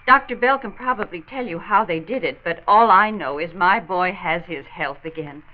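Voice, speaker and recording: An actress playing an anxious, devoted middle-aged mother in a mid-century radio drama, fretful and halting. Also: on the low side, lightly acted. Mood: worried. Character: anxious, devoted mother of a troubled young man. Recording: muffled old radio recording, faint noise.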